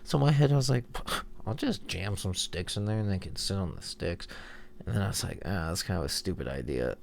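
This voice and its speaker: A man's voice: deep voice, lively intonation, fairly quick, gravelly. A young man with a stuffed-up, nasal voice, rambling in a laid-back, slangy way as he comments over his own video. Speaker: video host. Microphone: headphones monitoring, voice-over mic.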